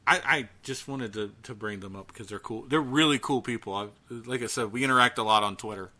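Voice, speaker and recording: A man with a bright, forward voice and an ordinary mid pitch. He talks as a podcast co-host in casual chat, halting and chatty as he finds his way through a thought.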